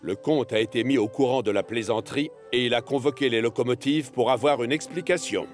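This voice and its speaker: A man's voice: mid-range voice, brisk, faint music behind. A man narrating a children's cartoon story in a scripted storytelling style.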